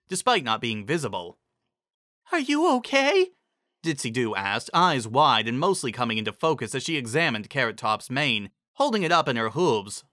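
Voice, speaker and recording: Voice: mid-range voice, fairly bright tone, no room sound, studio quality. A narrator giving a dramatic, scripted reading of a fantasy story.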